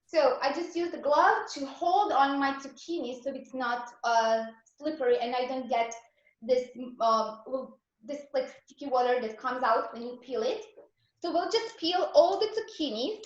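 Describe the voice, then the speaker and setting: A woman's voice: high voice, normal speed, very echoey, dull audio. A woman from Serbia with a Serbian accent, a raw vegan chef guiding a cooking class in an earnest, encouraging way. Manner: earnest, encouraging, gives step-by-step instructions.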